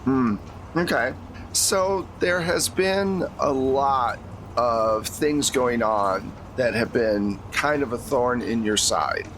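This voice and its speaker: A man's voice: mid-range voice, expressive, takes it slowly, fairly bright tone, a little hiss or hum, rough recording. An American man acting as game master, talking casually and conversationally as he narrates a tabletop role-playing game. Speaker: game master of an actual-play podcast.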